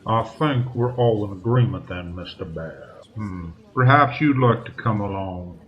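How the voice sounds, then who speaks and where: A man playing a grave, courteous police inspector with a formal, deliberate manner in an old-time radio drama. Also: on the low side, animated, dull audio. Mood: polite, decisive.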